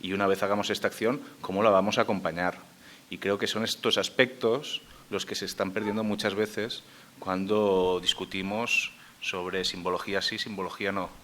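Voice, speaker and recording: Low-voiced man on a conference panel. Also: fairly even intonation, fairly bright tone, slight background noise. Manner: measured, thinking aloud with hesitations. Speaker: panel speaker on memory policy.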